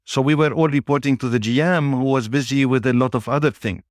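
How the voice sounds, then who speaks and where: A man of Lebanese origin, a native Arabic speaker, answering interview questions in a measured way with frequent pauses. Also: mid-range voice, fairly even intonation, no room sound, studio quality. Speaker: guest, business executive.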